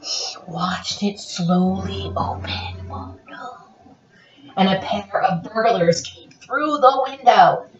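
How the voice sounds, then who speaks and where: Woman storyteller for a kids' read-aloud, slow and theatrical, very lively pitch swings. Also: background noise. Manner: theatrical, expressive, playful. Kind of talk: animated children's picture book read-aloud. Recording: roomy, dull lo-fi, distant mic.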